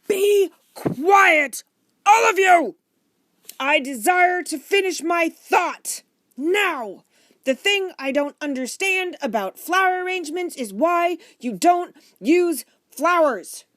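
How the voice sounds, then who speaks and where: A woman's voice: on the low side, animated, a little fast, hardly any pauses, close to an everyday voice. A woman voicing a stern, exasperated father in a children's audiobook, speaking formally and emphatically. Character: stern, exasperated father shouting over his noisy family.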